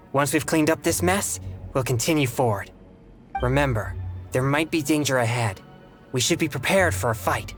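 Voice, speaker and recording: An actor playing a polite, solemn teenage boy exorcist in a video game, speaking in a measured, formal way with a self-doubting streak. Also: medium pitch, lightly acted. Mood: solemn, cautious. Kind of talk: video game character dialogue. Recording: game music behind the voice.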